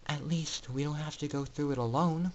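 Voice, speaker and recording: A man voicing a young female pony student, subdued and fearful, speaking in a soft, hesitant hush for a story reading. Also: fairly high pitch, fairly even intonation, moderate pace, muffled recording, a little hiss or hum, natural voice. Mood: quiet resignation. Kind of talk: dramatic fan fiction reading.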